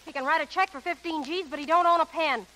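Wisecracking showgirl, bright high voice. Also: fast, poor audio. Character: wisecracking, skeptical, money-minded young showgirl. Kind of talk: 1930s radio acting.

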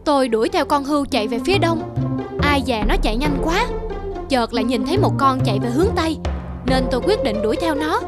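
A woman's voice: sits high, animated, fast, over loud music. A woman voicing a lazy, easily distracted, grumbling peasant husband in a folktale cartoon. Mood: excuse-making, excited.

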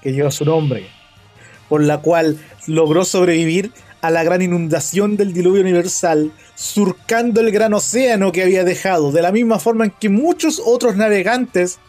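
A young Chilean man with a high voice chats loosely through a gameplay stream, reading viewers' messages aloud and joking about them. His tone stays casual and playful, and faint game music runs quietly behind him. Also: normal speed. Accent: Chilean Spanish.

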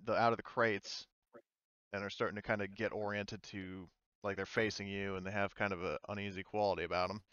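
A man's voice: on the low side, level tone, fairly quick, fairly bright tone, smooth, no echo, muffled recording. A man acting as game master for an online tabletop game, speaking informally with some swearing.

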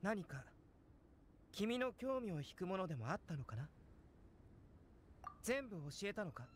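A man voicing a calm, gentle, scholarly young alchemist in an anime game, composed and measured. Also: high-pitched, slight background noise. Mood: composed, inquiring. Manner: composed, measured, softly probing.

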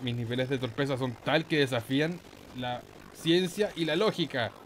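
A man's voice: medium pitch, moderate pace, slight background noise. A man giving casual, slangy, mock-boastful gaming commentary with some swearing. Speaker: gameplay host.

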